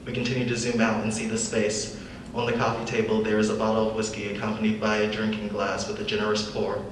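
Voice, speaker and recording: Low-voiced young man reading in echoey room. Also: completely flat delivery, fast. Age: young adult. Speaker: reader of script action lines. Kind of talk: scripted narration, live table read. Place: large echoey room, live event. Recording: echoey room, distant mic, faint noise.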